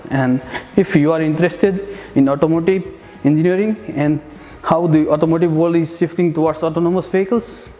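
Young guy from nepal, kinda high voice, lots of uh's, lapel mic, faint music under it. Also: fairly even intonation, a little fast, few pauses. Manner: hesitant, many "uh" fillers, soft chuckles. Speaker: master's student. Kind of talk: casual testimonial interview. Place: outdoors on a city riverside path and in a bright building.

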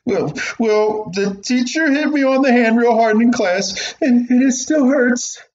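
A man's voice: very high voice, measured pace, darkish tone, roomy sound, muffled recording, pitched above the performer's own voice. A man voicing a timid, stammering young schoolboy in a radio play. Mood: nervous. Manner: nervous, stammering. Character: timid young schoolboy answering his stern mother.

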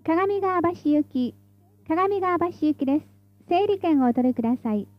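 A woman's voice: very high voice, animated, normal speed, no room sound, muffled recording. A woman reading scripted tram announcements in a formal, polite, carefully enunciated way, as the stop announcer.

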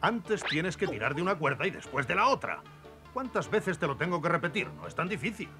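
A bossy old gnome sea captain of a cartoon spaceship. His fairly high voice swings widely as he gives exasperated orders. Music plays clearly behind him. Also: sing-song, a little fast. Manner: commanding, exasperated. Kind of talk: cartoon dub acting.

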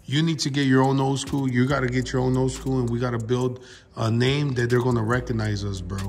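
A man's voice: medium pitch, little pitch movement, a little fast, a little mellow, a little hiss or hum. A man in his thirties chatting casually and emphatically in slang as a vlog host, repeating himself and calling people bro. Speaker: YouTube car channel host. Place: open-fronted metal garage workshop. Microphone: handheld phone camera nearby.

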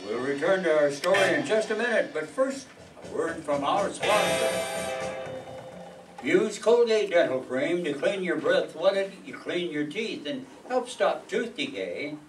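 High-voiced older man announcing old-time radio. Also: big pitch swings, measured pace. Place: meeting room stage before an audience. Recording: echoey room, distant mic, music behind.

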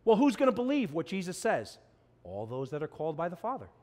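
Impassioned high-voiced male preacher. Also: wildly swooping pitch, fast, darkish tone. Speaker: pastor. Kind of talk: sermon. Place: church sanctuary, at a pulpit.

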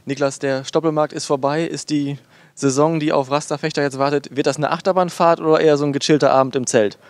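A man's voice: fairly high pitch, steady tone, fast, no room sound. A man working as a sports interviewer, asking questions in an informal style.

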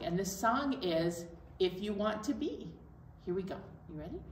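Slow, roomy middle-aged woman leading storytime. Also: medium pitch. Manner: animated, encouraging, addressing young children. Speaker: children's storytime presenter. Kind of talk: children's storytime talk and action songs. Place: indoor library storytime set. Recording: roomy, distant mic, faint noise.

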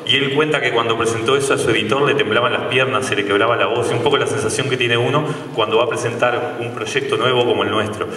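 A man's voice: mid-range voice, pushed and bright. Uruguayan man speaking in an echoey hall. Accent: Uruguayan Spanish. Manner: conversational, with frequent hesitations. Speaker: dentist giving a stage talk. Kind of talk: public talk on stage. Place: large theatre stage. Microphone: handheld mic on a stand, filmed from far off. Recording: roomy hall sound from a distant camera.

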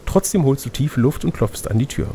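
Male gamebook narrator, medium pitch, creaky, faint noise behind the voice. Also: animated, a little fast, mellow. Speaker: reader and game master. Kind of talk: reading a gamebook aloud with casual asides.

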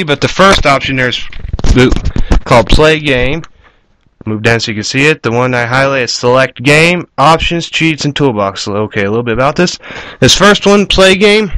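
A man hosts a casual, homemade demo of gaming hardware in a mid-range voice. He rambles bluntly and is quick to swear. The camera recording has noticeable noise behind him. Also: medium pitch, a bit bright. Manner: rambling, blunt, foul-mouthed. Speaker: video host. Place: cluttered room at home. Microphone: camera microphone at varying distance. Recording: noisy home camera recording with clicks.

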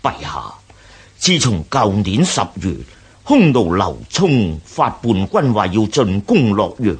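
A man with a Hong Kong accent acting a deferential, halting court minister in a historical radio drama, with a husky voice. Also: fairly low pitch, very animated, exaggerated voice. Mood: grave, resigned. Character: hesitant, deferential male court minister taking his leave.

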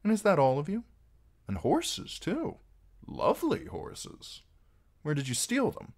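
A roguish travelling minstrel in a storybook, voiced by a man. He is genial and wry, with a very animated pitch that keeps sliding about as he teases and coaxes. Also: heavily acted. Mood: genial, sly. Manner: teasing, wheedling, drawn-out. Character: wry, genial roguish wandering male singer.

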